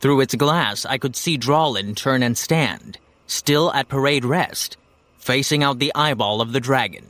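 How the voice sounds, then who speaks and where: A man's voice: medium pitch, unhurried, a bit bright, dry recording, close to an everyday voice. An actor playing a wry, self-mocking young hero who narrates his own adventure in an audio drama, confiding. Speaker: first-person narrator.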